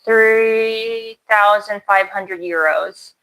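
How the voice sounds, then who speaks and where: Woman thinking aloud, bright voice. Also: mid-range voice, drawn-out words, soft background music, rough recording. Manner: brief backchannels, thinks aloud. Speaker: interviewer. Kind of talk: casual conversation.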